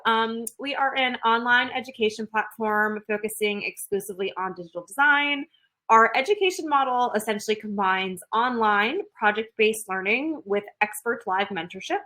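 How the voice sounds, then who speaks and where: A woman's voice: mid-range voice, pushed and bright. A young woman hosting and introducing a webinar in an upbeat, casual way with frequent giggles. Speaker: webinar host.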